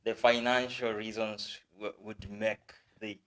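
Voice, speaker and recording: A Kenyan man hosting a casual chat with a guest, speaking in a relaxed, halting way with restarts. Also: fairly low pitch. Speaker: host.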